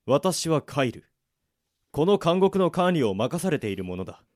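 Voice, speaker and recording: A man playing a formal, measured, authoritative prison warden in a radio drama. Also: a little high, very animated, dry recording, clean studio sound. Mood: cool, stern. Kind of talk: amateur radio drama acting.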